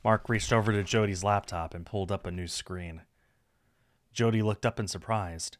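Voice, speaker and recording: A man with a fairly low voice narrates a story. The sound is dry and close, with little room around him, as he reads scripted prose aloud. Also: steady tone, normal speed, no room sound. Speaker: streamer reading a visual novel aloud.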